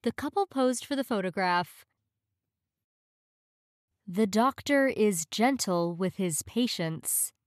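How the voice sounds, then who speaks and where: A woman reading short English practice phrases in a clear, measured way, each one said twice. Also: mid-range voice, animated, dry recording, clean studio sound.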